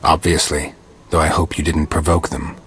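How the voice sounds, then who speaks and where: A man playing a stoic, terse monster hunter in a video game, speaking in a dry, questioning way with a husky rasp. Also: very deep voice, little pitch movement, moderate pace, a bit bright, a little hiss or hum. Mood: terse, reserved. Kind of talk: video game dialogue acting.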